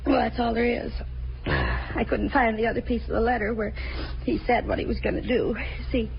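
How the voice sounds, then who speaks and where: A woman's voice: on the high side, big pitch swings, moderate pace, creaky. An actress playing a chatty, nosy working woman who rambles and confides in a hesitant way, in 1950s radio drama style. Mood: earnest, puzzled. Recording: muffled, hissy old radio recording.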